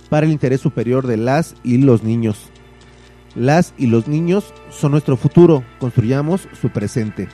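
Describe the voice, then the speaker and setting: Male community radio news host, mellow tone, relaxed delivery, faint music under the voice. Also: mid-range voice. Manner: relaxed, informal presenting with fillers. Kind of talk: reading a statement and presenting the news.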